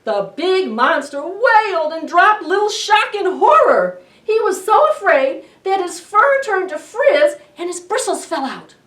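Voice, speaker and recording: A woman's voice: extremely high pitch, very animated, a little slow, few pauses, a bit bright. A middle-aged woman doing a dramatic picture-book read-aloud for young children, with questioning asides to her listeners. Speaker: library storytime host. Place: indoor library storytime room. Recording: roomy, distant camera microphone.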